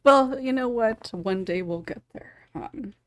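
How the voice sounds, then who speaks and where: A woman's voice: fairly high pitch, a little slow, dry recording, clean studio sound. A woman hosting a casual panel discussion, moving between questions and guests as moderator. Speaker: podcast host and moderator.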